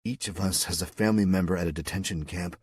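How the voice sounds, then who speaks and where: A man's voice: deep voice, fairly even intonation, fast talker, a little mellow, husky, no echo. Man reading as a subdued, resigned worker. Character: subdued male worker under pressure.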